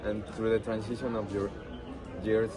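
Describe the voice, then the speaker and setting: A man interviewing someone, asking questions in halting, non-native English phrasing. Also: lowish voice, little pitch movement, music in the background. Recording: distant mic, busy crowd outdoors.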